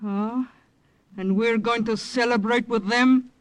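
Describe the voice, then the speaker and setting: An actress playing a proud, bitter young woman haunted by war, grave and deliberate in an old-time radio drama. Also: a little high, steady tone. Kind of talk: old-time radio drama acting, first-person narration and dialogue. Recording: vintage radio drama recording.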